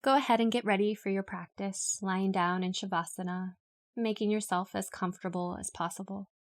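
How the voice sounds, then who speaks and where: Even-toned woman guiding a meditation. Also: mid-range voice. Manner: calm, unhurried, instructional. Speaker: yoga nidra meditation guide. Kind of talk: guided meditation, read from a script.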